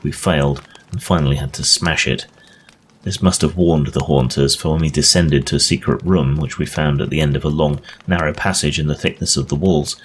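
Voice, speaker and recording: Very deep-voiced man reading a ghost story. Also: slight background noise. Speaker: narrator, reader of a ghost story. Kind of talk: dramatic audiobook reading of an old ghost story.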